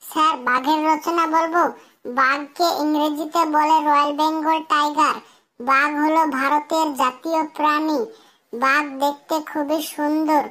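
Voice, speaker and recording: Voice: high voice, flat delivery, a little slow, sharp tone. A performer voicing an earnest cartoon schoolboy who speaks like a pupil reciting memorized lessons. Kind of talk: cartoon comedy dialogue.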